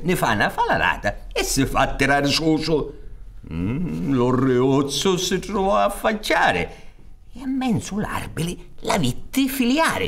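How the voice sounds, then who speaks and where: Casting: male storyteller in his sixties, Sicilian accent, higher-set voice, very slow, extremely animated folk-tale delivery. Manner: expressive, drawn-out, with repetitions for emphasis. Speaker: storyteller narrator. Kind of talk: theatrical oral storytelling of folk tales. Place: theatre stage set up for radio recording. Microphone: large studio condenser on stand.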